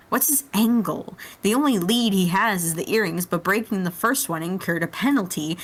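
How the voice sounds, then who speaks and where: A woman narrating a story as a dramatic reading within a group. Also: on the low side.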